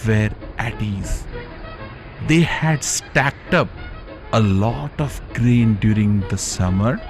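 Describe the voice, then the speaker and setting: A man narrating a children's story as a storyteller, in short phrases with dramatic pauses. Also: mid-range voice, vocal fry.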